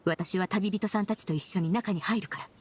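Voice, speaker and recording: A woman playing a composed, polite young investigator in a video game, formal, measured and analytical, used to giving orders. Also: medium pitch, a little mellow, a little hiss or hum, natural voice. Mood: commanding, calm. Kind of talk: Japanese game voice acting.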